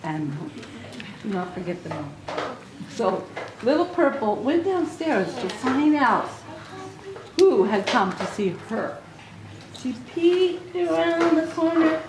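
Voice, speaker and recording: A woman reading a story aloud to young children in an expressive way, with little asides to her listeners. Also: fairly high pitch, slow pace. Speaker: children's author reading own book aloud. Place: book signing event in an echoey room. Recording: echoey room recording with clear noise.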